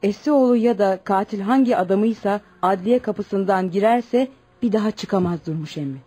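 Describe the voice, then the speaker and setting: A woman's voice: mid-range voice, normal speed, mellow, slightly rough, close to an everyday voice. An actress playing a defiant, resolute young village woman orphaned and grieving, in an earnest, declamatory radio-theatre style.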